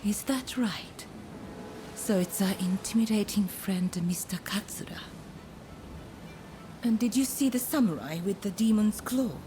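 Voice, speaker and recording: A woman's voice: mid-range voice, measured pace, pauses often, over music, close to an everyday voice. An actress playing a poised, teasing woman who trades favors in a video game, speaking politely and coyly. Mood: hushed, teasing. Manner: coy, polite, formal phrasing. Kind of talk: video game cutscene acting.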